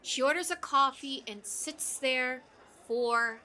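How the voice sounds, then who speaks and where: A woman playing a pushy, playful young barista in an audio drama, with a teasing and expressive delivery. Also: high voice, takes it slowly, heavily acted. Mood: curious, teasing. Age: young adult.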